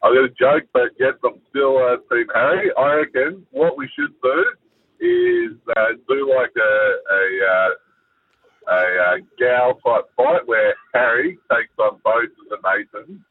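A man with an Australian accent calling in to a radio show, rambling and halting as he jokes. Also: mid-range voice, very animated, no room sound, phone-quality sound. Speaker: caller. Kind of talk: call-in radio chat.

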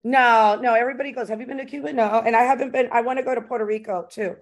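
A woman's voice: a little high, fairly even intonation, very fast. A woman hosting a casual podcast interview, chatting informally with slangy phrasing. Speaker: podcast host interviewing a comedian.

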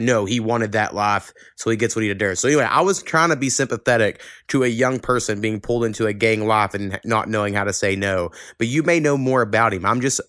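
A man's voice: mid-range voice, fairly bright tone, clear, resonant tone, no room sound, clean studio sound. A man hosting a podcast in a casual, conversational chat. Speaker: podcast host.

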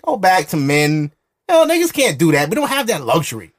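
A brash New man hosting a podcast in a studio, chatting with a few drinks in him. His voice sits a little high for a man and swings widely in pitch as he needles his guest. He is provocative and profane, and he laughs a lot. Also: huge pitch swings, a little fast. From Brooklyn, New York. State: drinking alcohol while recording. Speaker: podcast host. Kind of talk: loose, profane casual chat.

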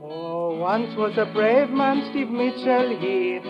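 Jolly calypso man, unhurried singsong rhymes over loud guitar, old radio. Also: high voice, muffled recording. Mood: jovial. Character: jovial male Trinidadian calypso singer, admiring storyteller. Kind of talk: calypso performance in radio drama.

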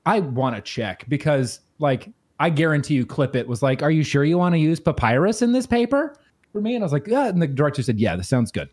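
A man co-hosting a casual podcast chat, jokey and quick to laugh. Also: a little high. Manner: laughs often, animated and jokey. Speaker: podcast co-host.

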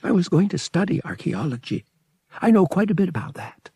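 A man voicing an earnest, devout young female pilgrim in an audiobook, reserved, wistful and softly spoken. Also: high voice, lively intonation, a little fast, husky, dry recording, pitched above the performer's own voice, heavily acted. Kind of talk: audiobook character acting.

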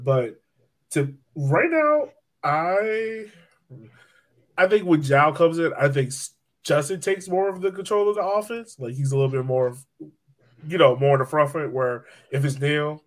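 A man hosting a casual soccer discussion, conversational and steering the talk along. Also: mid-range voice. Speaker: podcast host.

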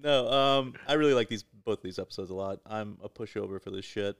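A man's voice: lowish voice, a little fast, creaky, no room sound, studio quality. A man co-hosting a podcast with casual, joking banter and an irreverent, profane way of talking. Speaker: podcast co-host.

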